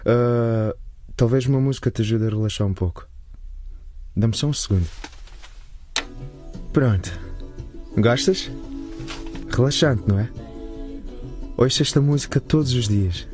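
Actor playing a gentle young man, coaxing, reassuring, radio drama, light music behind. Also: medium pitch, unhurried, long pauses, close to an everyday voice. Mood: soothing.

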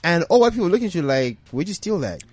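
A man from Johannesburg with a South African accent, whose first language is Tswana, hosting a casual panel podcast in an animated, emphatic way. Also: high voice, breathy edge, no echo. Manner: animated, emphatic, quick asides. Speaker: podcast host.

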